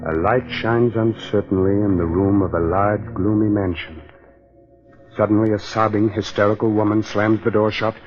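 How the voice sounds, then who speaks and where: A man's voice: on the low side, fairly even intonation, normal speed, close to an everyday voice. A man playing a mock-sinister, theatrical host who teasingly welcomes guests on a 1940s radio horror show. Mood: ominous. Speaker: host of a mystery anthology. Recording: muffled old 1940s radio, music behind.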